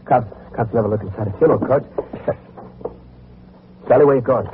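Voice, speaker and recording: Casting: male actor as faltering, confused gangland boss, slangy and proud, medium pitch, thin noisy vintage radio sound. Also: a little fast, close to an everyday voice. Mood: scheming. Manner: stammering, repeating himself, gangster slang. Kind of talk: old-time radio crime drama acting. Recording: noisy, tinny old radio recording.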